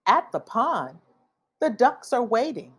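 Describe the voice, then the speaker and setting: A woman reading a children's picture book aloud, with expressive, deliberate phrasing for young listeners. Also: a little high, slightly rough. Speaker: read-aloud storyteller.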